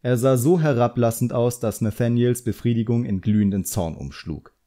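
A man's voice: mid-range voice, moderate pace, mellow. A man narrating a literary audiobook in a dramatic storytelling style.

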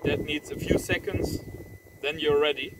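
A man in his thirties doing a casual outdoor radio demonstration, repeating short phrases clearly. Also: on the high side, moderate pace. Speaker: amateur radio operator presenting his antenna. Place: outdoors on a windy farm road. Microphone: camera a few steps away. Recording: distant mic with wind and outdoor noise.

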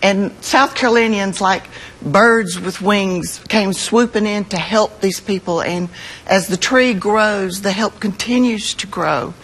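A woman's voice: medium pitch, a little slow, fairly bright tone, breathy edge, rough recording. An American woman, an art teacher, speaking as a guest in a live TV interview, earnest and emphatic.

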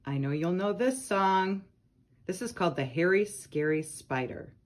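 A woman's voice: fairly low pitch, expressive, measured pace. A woman reading children's nursery rhymes aloud from a picture book, speaking to young listeners. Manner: addresses young listeners with questions and short comments.

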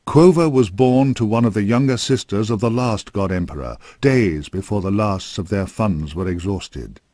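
Guy with a lowish voice doing serious fantasy game narration, measured and grave, real dry studio sound. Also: animated, mellow, no echo.

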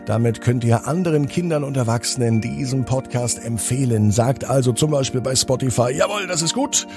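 A man hosting a children's bedtime story podcast, gently addressing young listeners with drawn-out emphasis. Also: medium pitch, over music.

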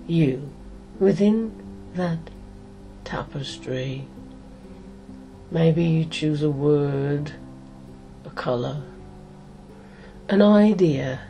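A middle-aged woman hypnotist leading a guided meditation in a soothing, deliberate way, pausing between short phrases. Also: deep voice, dark tone.

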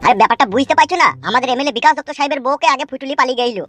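Woman voicing male cartoon village rascal, crude, grumbling, slangy, rapid-fire, faint music underneath. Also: high voice, fairly bright tone. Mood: irritated. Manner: coarse, cheeky, slangy. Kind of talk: comedy cartoon dialogue.

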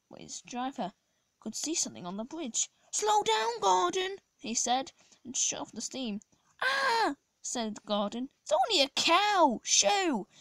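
A hoarse woman, a children's channel host, reading a picture book aloud at home, halting and restarting often. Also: on the high side, no echo. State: hoarse from a sore throat. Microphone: phone camera over the book.

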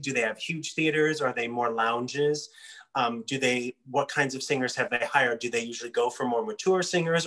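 A man speaks with a bright, forward voice at a middling pitch. He sounds like a casting director in an interview, explaining his field in a thoughtful way.

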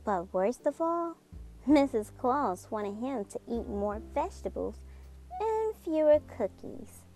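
A woman's voice: a little high, very animated, slow pace, warm tone. A woman reading a children's picture book aloud expressively. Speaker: children's book reader, channel host. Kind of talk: expressive storybook read-aloud. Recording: music behind the voice.

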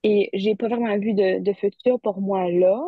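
A woman's voice: mid-range voice, fairly even intonation, moderate pace, no echo. Woman from ontario, chatty, sounds like a phone line, dry. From Barrie, Ontario, Canada. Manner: chatty, laughs easily. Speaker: university student guest. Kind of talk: casual conversation.

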